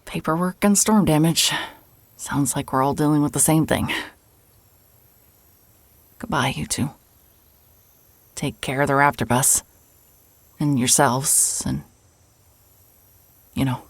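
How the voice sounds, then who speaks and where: A woman's voice: sits low, slow pace, pauses often, no room sound, exaggerated voice. An actress playing a weary, wry, guarded woman investigator in an audio drama, speaking in a hesitant, dry way. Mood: weary, resigned.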